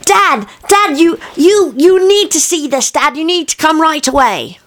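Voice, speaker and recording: A woman's voice: sits high, expressive, measured pace, fairly bright tone. A woman voicing a nervous, stammering child in an improvised story scene. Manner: hesitant, stammering. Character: nervous child calling for Dad.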